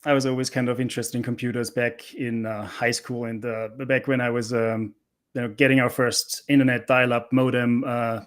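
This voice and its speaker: Middle-aged man, mid-pitched. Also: little pitch movement, moderate pace. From Austria. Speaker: interview guest, cybersecurity instructor. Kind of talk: casual conversational interview answers.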